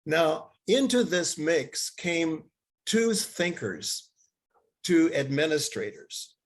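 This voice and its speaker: Halting older man, husky voice. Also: on the high side, very animated. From Bangladesh. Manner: halting, many fillers and restarts. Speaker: seminar lecturer. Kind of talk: academic lecture with slides.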